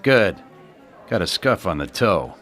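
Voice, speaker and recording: Cocky, low-voiced menacing tough guy. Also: sing-song, unhurried, fairly bright tone, soft background music, heavily acted. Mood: guarded, needling. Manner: sardonic, clipped tough-guy talk. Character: cocky, menacing tough man in a dead gangster's shoes. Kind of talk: radio drama acting.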